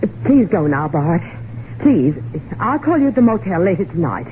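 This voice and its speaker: An actress playing a flustered, evasive wife in an old-time radio mystery, halting and stammering as she pleads. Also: fairly low pitch, sing-song. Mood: anxious, coaxing. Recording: tinny, noisy vintage radio recording.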